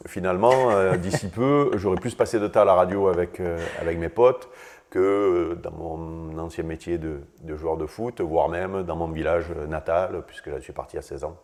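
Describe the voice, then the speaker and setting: Deep-voiced man in his sixties. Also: fairly even intonation, normal speed, darkish tone. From Vaucluse, southern France. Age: 60s. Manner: rambling, many hesitant fillers, dry self-mocking humor. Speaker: guest, former footballer. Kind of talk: casual podcast interview.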